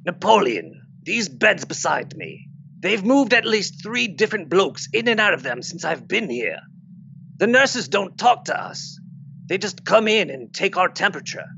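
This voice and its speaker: A man's voice: high voice, sing-song, unhurried, vocal fry, bright, forceful tone, highs cut off, a little hiss or hum, heavily acted. A man playing a blunt, sardonic, wounded British soldier and protective father in an audio drama. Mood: resigned, grave.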